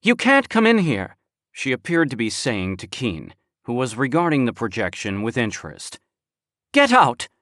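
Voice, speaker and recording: A man voicing a frightened, indignant woman who shouts and pleads in a shrill voice, read for an audiobook. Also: sing-song, a little slow, dry recording, clean studio sound. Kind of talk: audiobook character voice.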